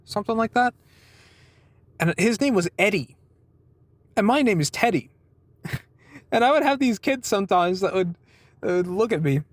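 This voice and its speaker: A man in his twenties with a noticeably high voice talks casually to his viewers. The sound is dry, with little room in it. He rambles through personal stories in an informal way and acts out bits of conversation as he goes. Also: expressive, normal speed, long pauses, dry recording. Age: 20s. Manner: acts out quoted exchanges. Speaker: vlogger giving a weekly update.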